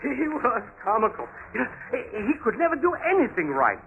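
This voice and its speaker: Rambling, excitable gossip, very high pitch, dull 1950s radio-drama recording. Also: male voice, brisk, a little hiss or hum, heavily acted. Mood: amused. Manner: animated, rambling storytelling. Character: excitable, giggly gossip fondly recalling a clownish friend.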